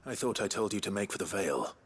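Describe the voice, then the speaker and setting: An actor playing a stoic, earnest young nobleman swordsman in a video game, speaking tersely and gravely with a British accent. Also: very animated, quick pace, a little hiss or hum. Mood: stern. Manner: low-key, terse, grave.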